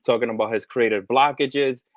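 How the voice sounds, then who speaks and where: A man's voice: medium pitch, steady tone, moderate pace, no room sound. A man in his thirties who grew up between the United States and the Caribbean, talking as a guest in a casual interview, hesitant, with many restarts and fillers. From United States, raised partly in the Caribbean. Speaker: guest, tarot artist. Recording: dry, dull lo-fi sound.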